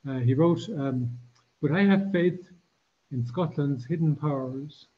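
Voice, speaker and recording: Older male lecturer, breathy, measured, lots of restarts; dull far-camera sound. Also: fairly high pitch. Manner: measured, many restarts and fillers. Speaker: guest lecturer. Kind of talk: formal lecture with anecdotes. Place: embassy room with curtained windows.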